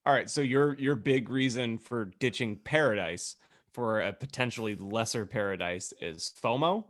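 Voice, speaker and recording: Male podcast co-host, average pitch, sardonic, casual comedic riffing. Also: moderate pace.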